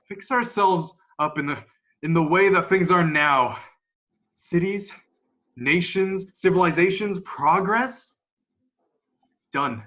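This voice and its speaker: Young male actor as defiant invasion survivor, ex-militia. Highish voice, folksy and halting, muffled video-call sound. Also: bright, forceful tone. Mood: grim. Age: young adult. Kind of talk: amateur radio play acting. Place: room at home.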